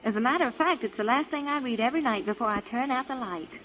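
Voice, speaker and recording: An actress plays an eager, starstruck woman hungry for gossip in a 1940s radio show. Her voice is fairly high and gushing, heard through a muffled vintage recording. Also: fast, natural voice. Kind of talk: 1940s radio comedy acting.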